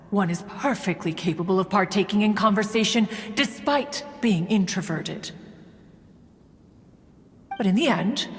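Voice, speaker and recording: A woman's voice: mid-range voice, close to an everyday voice. An actress playing a haughty, reserved immortal woman in a video game, speaking with pompous formality. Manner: pompous, formal, refers to self as one. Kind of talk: scripted game dialogue. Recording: long reverb, faint music. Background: faint game music.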